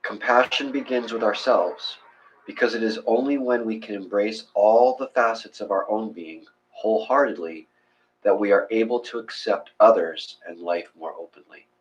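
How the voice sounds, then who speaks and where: A man leading a guided meditation and reading aloud in a measured, gentle, instructional way. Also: fairly low pitch, low-quality recording.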